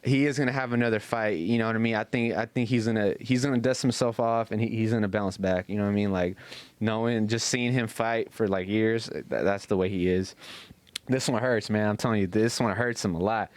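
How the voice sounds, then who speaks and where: Male podcast host, low smooth voice, dry recording, loose slangy swearing, casual sports talk. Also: fairly even intonation, brisk, no room sound. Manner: slangy, profane.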